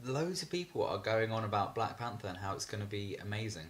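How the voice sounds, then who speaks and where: A man's voice: lowish voice, lively intonation, quick pace, slight background noise. A young British man co-hosting a podcast in casual chat. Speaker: podcast co-host.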